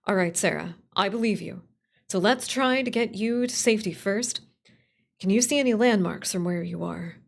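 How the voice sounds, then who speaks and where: A composed radio call-in host , voiced by a woman, from a spooky radio play. The delivery is measured and authoritative, reassuring the caller, with a creaky edge. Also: medium pitch. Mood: calm, reassuring. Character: calm, steady radio call-in host guiding frightened caller.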